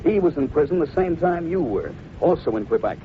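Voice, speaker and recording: Commanding mountie, fast firm talk, old radio drama, phone-like sound w some noise. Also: male voice, fairly high pitch, very animated. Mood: stern. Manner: firm, clipped, authoritative. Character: stern, commanding Canadian Mountie interrogating a suspect. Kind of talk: 1950s radio drama acting. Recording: tinny, noisy old radio recording.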